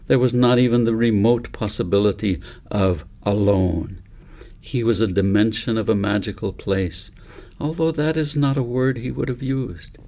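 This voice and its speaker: A man reads a story aloud as an audiobook narrator. His voice sits fairly low, with a very gravelly, creaky edge. He phrases things gently and deliberately, in a measured storytelling manner. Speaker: author reading own book aloud.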